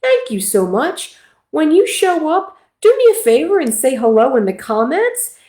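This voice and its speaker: A woman's voice: sits high, big pitch swings, moderate pace, darkish tone, echoey room. A middle-aged woman from Philadelphia, a nurse practitioner hosting a casual livestream talk in a chatty, self-deprecating way.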